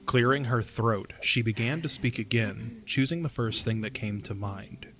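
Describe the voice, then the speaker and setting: A man's voice: medium pitch, normal speed, over music. A man reading scripted third-person narration for a fantasy audio drama.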